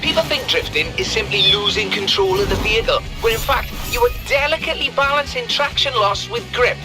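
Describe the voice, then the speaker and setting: A man's voice: extremely high pitch, lively intonation, fairly quick. A man playing a theatrical, chatty drift club enthusiast coaching a driver in a video game. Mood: enthusiastic, explaining. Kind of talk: video game character dialogue. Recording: loud music over the voice.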